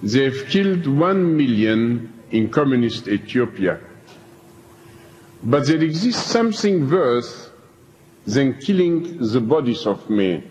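An older man, speaking slowly and emphatically as he recounts his prison experience to an audience. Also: mid-range voice, very animated, darkish tone, slight background noise. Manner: slow, deliberate, emphatic phrasing with pauses. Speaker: preacher telling his prison story. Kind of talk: slow spoken testimony to an audience. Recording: dull lo-fi archive tape in a reverberant hall.

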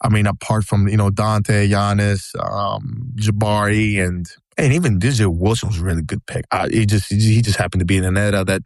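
A man from Greece with a Greek accent, co-hosting a casual sports podcast, talking in an animated, emphatic way and repeating himself for effect. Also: on the low side, moderate pace, vocal fry, few pauses, no room sound, clean studio sound. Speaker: podcast co-host. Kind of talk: casual chat.

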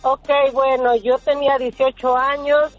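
A woman from Mexico calling in to a radio show, answering in short, soft replies with fillers. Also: high-pitched, little pitch movement, a little slow, dry recording, faint music behind. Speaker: caller. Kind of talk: phone-in chat. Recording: tinny phone line.

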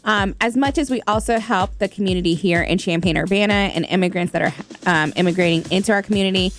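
A woman's voice: medium pitch, fast talker, soft background music. A woman from Malawi, a nonprofit founder, giving conversational answers as a radio guest. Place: radio studio.

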